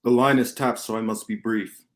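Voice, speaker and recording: A man's voice: medium pitch, fairly even intonation, normal speed, natural voice. A man reading a grave, cryptic rebel leader in a radio play, solemn and emphatic. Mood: grave, urgent.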